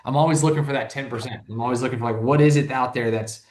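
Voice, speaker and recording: A man's voice: medium pitch, fairly even intonation, quick pace, roomy sound. A man in his thirties, a business owner talking as an interview guest in a rambling, casual way, full of restarts and "like". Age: 30s. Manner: rambling, heavy use of "like", frequent restarts.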